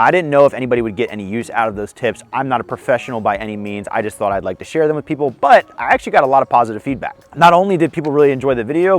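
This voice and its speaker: A young man with a slightly hoarse voice hosts a casual disc golf tips vlog outdoors on a course, friendly and self-deprecating as he explains. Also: mid-range voice. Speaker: disc golf video host.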